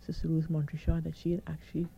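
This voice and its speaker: A middle-aged woman doctor with a croaky, hoarse voice, calmly explaining her experience as a radio guest. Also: low-pitched, fast talker, dull audio. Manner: calm, reassuring, explains step by step. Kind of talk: radio interview.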